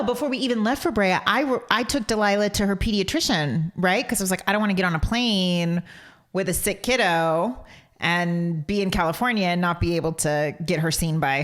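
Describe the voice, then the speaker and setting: A woman co-hosting a casual podcast, chatty and teasing. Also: medium pitch. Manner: teasing, chatty, laughs easily. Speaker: podcast co-host. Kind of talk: casual chat.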